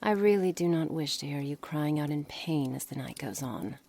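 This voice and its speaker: Low-voiced, menacing witch played by a woman. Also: lively intonation, warm tone. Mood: coolly threatening. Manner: formal, deliberate diction, condescending, often dropping to breathy near-whispers. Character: cold, menacing, curious witch experimenting on her captive pet. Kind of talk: ASMR roleplay, scripted dramatic monologue. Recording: noisy background ambience.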